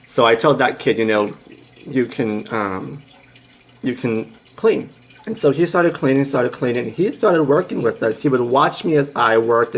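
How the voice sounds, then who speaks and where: A young man in his twenties talks to his live viewers in a loose, playful way, his voice sitting at a middle pitch. He rambles and restarts, then leaps up high when he gets excited. He keeps stopping to greet viewers by name. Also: gravelly, breathy edge, rough recording. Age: 20s. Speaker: livestream host. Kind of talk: casual motivational storytelling to live viewers.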